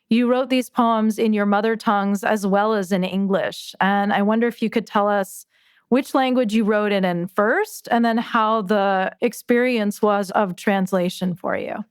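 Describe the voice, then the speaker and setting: Thoughtful woman podcast host, unhurried and gentle, smooth clear voice, dry close sound. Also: medium pitch, dry recording. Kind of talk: reflective conversation about poetry.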